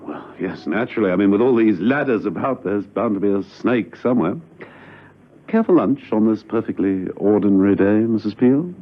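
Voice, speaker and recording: An actor playing a suave, unflappable British gentleman spy, urbane and wry, in a radio drama. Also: on the low side, animated, normal speed, slight background noise, natural voice. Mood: wry, unruffled. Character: suave, unflappable English gentleman secret agent. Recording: dull old radio recording.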